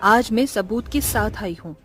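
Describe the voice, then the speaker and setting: A woman's voice: fairly high pitch, unhurried, a bit bright. A woman voicing an earnest, emotional young village sister in a horror story, with dramatic delivery. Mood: urgent. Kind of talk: Hindi horror story acting. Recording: music behind.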